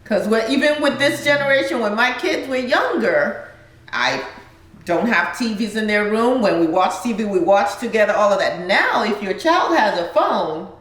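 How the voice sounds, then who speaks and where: A woman's voice: fairly high pitch, animated, moderate pace, a bit bright, distant mic. A middle-aged woman talks thoughtfully in a casual group conversation, weaving quoted sayings into her points. She sits a little away from the mic, in an echoey room. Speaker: parent and community member.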